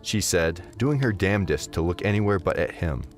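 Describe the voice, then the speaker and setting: A man's voice: low voice, expressive, fairly quick, soft background music. A man narrating a scripted fantasy story expressively as the narrator of an audio drama.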